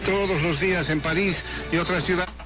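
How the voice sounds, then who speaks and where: A man's voice: sits high, fairly even intonation, fast talker, loud music behind the voice, poor audio. A man reading scripted sports and news items as an announcer on a shortwave radio broadcast.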